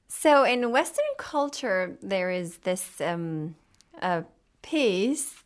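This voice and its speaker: A woman hosting a radio discussion show, speaking in a measured, formal way and sometimes pausing to find her words. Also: mid-range voice, lively intonation.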